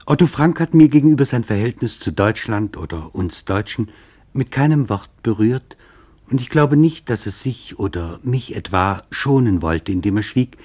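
A man narrating a scripted literary radio documentary in a solemn, deliberate, formal manner, with a husky voice. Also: medium pitch, expressive, a little mellow.

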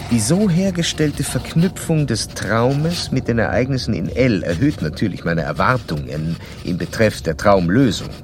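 An actor in a radio play as a self-assured, probing psychoanalyst who speaks in formal, precise, bookish sentences. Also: male voice, lowish voice, noisy background. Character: probing, self-assured doctor and psychoanalyst, old-time Vienna. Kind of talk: radio play acting with first-person case narration.

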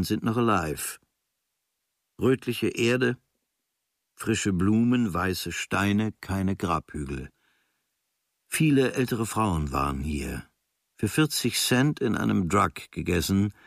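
Low-voiced man reading a literary diary, dry studio. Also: gravelly, dry recording. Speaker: narrator reading a travel diary. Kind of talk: scripted literary narration for a radio play.

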